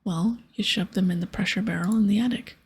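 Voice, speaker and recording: Bossy old-salt pirate captain played by a woman, video game, breathy ASMR whisper, piratical turns of phrase. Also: medium pitch, a little fast. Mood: bossy, explaining. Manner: piratical dialect, explaining and ordering.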